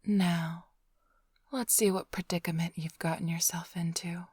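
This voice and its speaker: A woman acts out a seductive vampire thief for an ASMR roleplay. She speaks in a breathy whisper with an unhurried, teasing drawl and a smug edge. The sound is intimate and dry, right up on the microphone. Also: lowish voice, steady tone, darkish tone, dry recording. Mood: hushed, appraising. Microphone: close-up studio microphone, dry.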